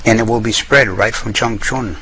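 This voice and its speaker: A man acting as a solemn great lama in a narrated tale, speaking in declarations. The voice is fairly low and forceful, with faint noise behind it. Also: fairly even intonation, moderate pace, rough recording. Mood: solemn, assured. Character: male great lama, a solemn prophesying teacher. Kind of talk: scripted story dialogue.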